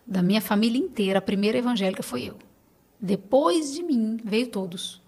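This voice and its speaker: Higher-pitched Brazilian woman, earnest and chatty. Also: moderate pace, a crowd in the background. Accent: Brazilian Portuguese. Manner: earnest, repeats phrases for emphasis, tags with "né". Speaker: podcast guest. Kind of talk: casual, personal conversation with anecdotes.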